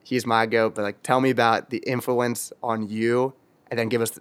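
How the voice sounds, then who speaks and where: A man hosting a music podcast, asking quick, enthusiastic questions in a casual chat. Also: mid-range voice, little pitch movement, clean tone. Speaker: podcast host.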